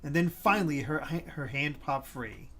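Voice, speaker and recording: A man's voice: a little high, animated, moderate pace. A man co-hosting a game stream, reading the story aloud as narrator with casual asides. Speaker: guest co-host of a game stream. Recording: clear background noise.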